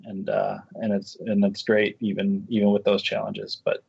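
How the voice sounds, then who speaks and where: A man speaking casually as an interview guest, a screenwriter and director, in a halting, thoughtful way that circles back through rephrasings. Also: lowish voice, completely flat delivery, vocal fry, a little mellow, slightly rough, no room sound. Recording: dull, muffled lo-fi audio.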